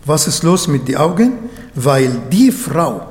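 A man's voice: a little high, big pitch swings, measured pace, darkish tone. Arabic-speaking man preaching in a hall. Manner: earnest, searching for German words. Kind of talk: sermon.

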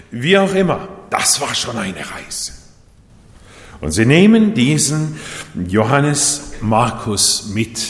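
A man from Japan preaching a sermon in a measured way, his voice rising and falling for emphasis. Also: mid-range voice. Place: church hall. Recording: echoey hall, voice set back.

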